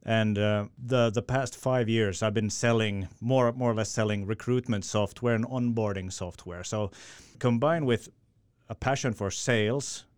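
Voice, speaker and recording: Smooth-voiced man. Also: fairly low pitch, steady tone, measured pace, few pauses, no room sound. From Finland. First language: Swedish. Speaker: sales director. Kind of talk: podcast interview answer.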